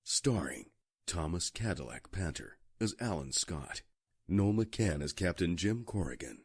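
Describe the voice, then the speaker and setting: A man's voice: deep voice, expressive, a little slow, breathy edge, dry recording. A man reading scripted cast credits as the announcer of an audio drama.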